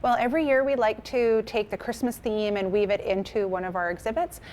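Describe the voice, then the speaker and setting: A woman's voice: medium pitch, steady tone, brisk, a little mellow, a little hiss or hum. A woman, speaking as a museum curator explaining in an interview. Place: indoors at a museum.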